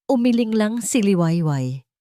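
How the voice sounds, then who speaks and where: A woman reading a scripted dramatic story as narrator, in a measured and solemn storytelling manner. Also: mid-range voice, animated, dark tone.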